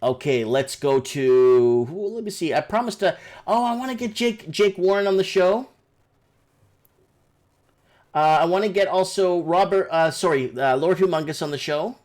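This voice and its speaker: Higher-voiced rambling man hosting a livestream. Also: expressive, normal speed, a little mellow. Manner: rambling, repetitive, addresses viewers as guys. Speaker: livestream host. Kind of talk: casual live call-in chat.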